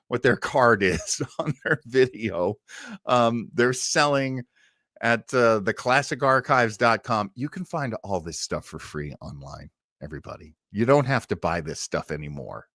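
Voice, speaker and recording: A man hosting a livestream, chatting casually to his audience as an enthusiastic, animated fan. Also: mid-range voice, moderate pace, no echo, clean studio sound. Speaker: livestream host. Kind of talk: casual chat to live audience.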